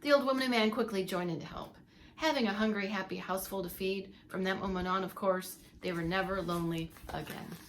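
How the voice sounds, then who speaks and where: A woman narrating a children's story aloud like a preschool teacher, with animated, dramatic emphasis. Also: mid-range voice, brisk, a little hiss or hum.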